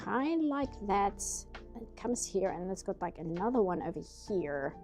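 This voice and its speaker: A woman's voice: mid-range voice, expressive, normal speed, a little mellow, faint music behind. A nasal-sounding woman streaming herself painting, chatting casually in rambling asides. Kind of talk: casual chat while drawing.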